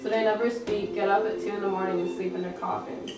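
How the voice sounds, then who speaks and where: A woman's voice: mid-range voice, little pitch movement, fast talker, loud music behind the voice. A young woman reading lines plainly in an amateur school drama. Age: young adult. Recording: echoey room from far off, noisy.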